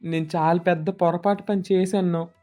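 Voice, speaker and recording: A woman voicing a rustic male household servant with colloquial speech in an animated moral story. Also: lowish voice, normal speed, natural voice. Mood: remorseful. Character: male household servant, sly yet guilt-prone, rustic. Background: faint music.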